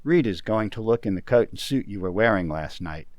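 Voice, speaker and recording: A man playing a brisk, practical, insistent business associate in an old-time radio drama. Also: fairly low pitch, expressive, a little mellow, dry recording, lightly acted. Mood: brisk, concerned. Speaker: amateur community theater actor.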